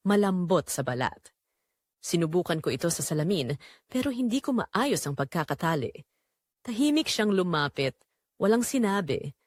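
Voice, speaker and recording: A woman reads a scripted romantic drama in the first person. Her mid-pitched voice moves expressively up and down while keeping a measured, sentimental storytelling delivery. The sound is dry, with no room around it. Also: no room sound. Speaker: story narrator.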